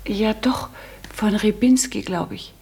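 A young woman of a rooming house in a radio drama. She is chatty and practical, her medium-pitched voice edged with fry, and she speaks with plain, matter-of-fact curiosity. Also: slow, dark tone. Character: chatty, inquisitive young landlady's daughter. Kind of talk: German radio play acting.

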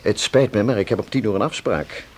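A man acting a calm, reassuring doctor in a radio thriller, measured and courteous. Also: fairly low pitch, slightly rough. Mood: soothing, composed.

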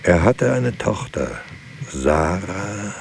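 An actor playing a grave narrator in a literary radio drama, speaking in a solemn, measured way. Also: male voice, deep voice, very animated, gravelly, slight background noise, exaggerated voice. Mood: grave, matter-of-fact. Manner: solemn, measured, terse sentences. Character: grave male narrator recounting lives and losses.

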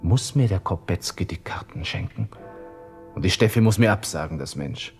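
An actor playing a panicked, proud young army lieutenant in a radio drama monologue, talking to himself with a Viennese accent. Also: male voice, deep voice, lively intonation, moderate pace, faint music behind. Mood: despairing. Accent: Viennese Austrian. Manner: agitated self-talk, from hushed muttering to outbursts.

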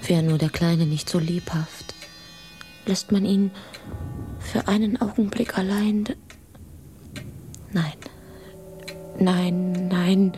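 Anxious, slow-speaking fisherman's wife. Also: on the low side, noisy background. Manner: pleading, fretful, drawn-out delivery. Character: anxious, protective fisherman's wife, tender and pleading. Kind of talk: children's radio play acting.

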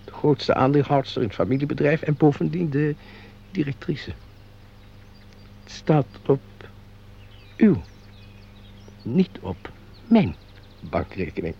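An actor playing a sly, fawning, crooked bookkeeper in a radio play, with formal, unctuous, theatrical delivery. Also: male voice, mid-range voice, expressive, takes it slowly, creaky, pauses often, breathy edge, full character voice. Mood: smooth, calculating. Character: sly, fawning, embezzling bookkeeper, secret lover. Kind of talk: Dutch radio play acting. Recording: dull, noisy radio play recording with hum.

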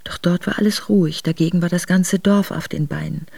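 Exiled noblewoman telling her story for a radio play, gravelly voice, measured and earnest. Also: on the low side, fairly even intonation, dark tone, no echo. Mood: grave, reflective. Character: Russian noblewoman recounting her husband's exile, earnest, reflective. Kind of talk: literary first-person radio drama narration.

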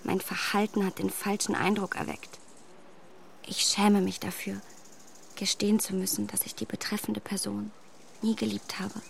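An actress playing a proud, candid young gentlewoman in a radio drama, polite and articulate as she reproaches herself. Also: mid-range voice, little pitch movement, moderate pace, creaky, slight background noise. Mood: earnest, confessional. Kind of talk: German radio drama acting of a classic novel.

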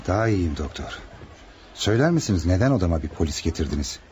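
An actor playing a courteous, worried hospital patient and husband in a radio play. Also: male voice, low-pitched, very animated, fast talker, a little mellow, slight background noise. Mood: worried, polite. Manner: polite, concerned. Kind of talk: Turkish radio theatre acting.

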